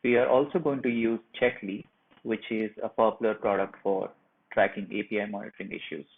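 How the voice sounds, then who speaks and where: A man presenting a software tutorial, narrating each step of a screen share in a plain, instructional way. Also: on the low side, little pitch movement, fairly quick, vocal fry. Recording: muffled phone-like narrow band.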